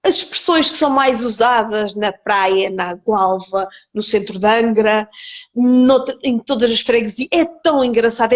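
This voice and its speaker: A woman with a Coimbra Portuguese accent tinged with Porto and Terceira, speaking thoughtfully as an interview guest, with many pauses and repeated words. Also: a little high. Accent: Coimbra Portuguese mixed with Porto and Terceira. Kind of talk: reflective conversational interview.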